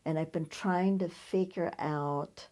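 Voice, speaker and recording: A woman archivist talks in a measured, reflective manner. Her voice is very low and dark, with a mellow tone. She speaks slowly and unhurriedly. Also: steady tone. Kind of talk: casual conversation.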